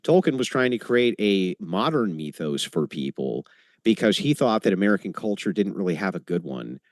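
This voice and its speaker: A man's voice: low-pitched, sing-song, moderate pace, darkish tone, no room sound, clean studio sound. A man in a debate, pressing his opponent with pointed follow-up questions. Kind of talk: live debate.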